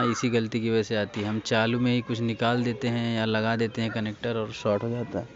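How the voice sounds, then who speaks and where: Flat-toned Indian man, lo-fi recording. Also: mid-range voice. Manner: informal, instructional, addressing viewers directly. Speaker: printer repair technician giving a tutorial. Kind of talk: casual hands-on tutorial talk. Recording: dull lo-fi recording with faint noise.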